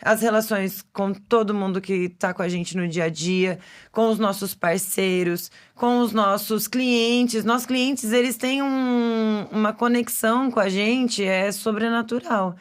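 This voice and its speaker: A woman's voice: medium pitch, fairly even intonation, measured pace. A Brazilian woman hosting a casual podcast chat, talking emphatically with lots of fillers. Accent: Brazilian Portuguese. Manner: chatty, filler-heavy, emphatic. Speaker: podcast host.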